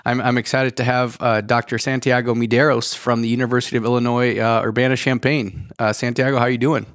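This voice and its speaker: A man's voice: mid-range voice, level tone, fairly quick, fairly bright tone, no echo. Eager man hosting a podcast, dry sound. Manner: eager, stumbling restarts. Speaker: podcast co-host. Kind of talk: casual podcast chat.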